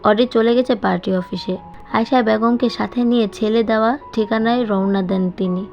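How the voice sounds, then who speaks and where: A woman's voice: on the high side, steady tone, normal speed, creaky. A woman reading a novel aloud as a scripted, dramatic audio story narration. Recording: dull sound with music behind. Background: music.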